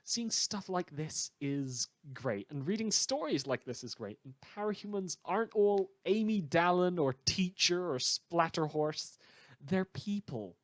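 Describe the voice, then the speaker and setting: An earnest evening radio host played by an amateur performer speaks to listeners in a fictional broadcast. The delivery is mild and rambling, with hesitant hums and trailing pauses. The voice sits at a mid pitch in a dry, echo-free space. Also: animated, natural voice.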